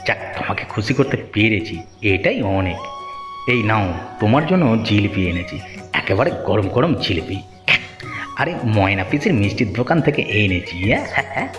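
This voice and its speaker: A man's voice: on the low side, sing-song, normal speed, big-room sound, over music. A man voicing a mild, cautious village husband in a Bengali cartoon. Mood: cheerful. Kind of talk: Bengali moral-story cartoon dubbing.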